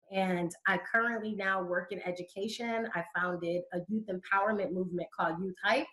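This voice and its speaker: A woman's voice: fairly low pitch, a bit bright, low-quality recording. A woman from Connecticut, a youth educator, answering interview questions in fluent, conversational storytelling.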